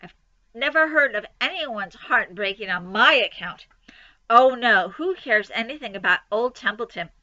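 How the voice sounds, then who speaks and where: A woman's voice: fairly high pitch, lively intonation, normal speed, sharp tone, dry recording, dull audio. A woman voicing a grumpy, sarcastic male rat in a storybook reading, mocking and self-pitying. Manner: sneering, mocking, grumbling.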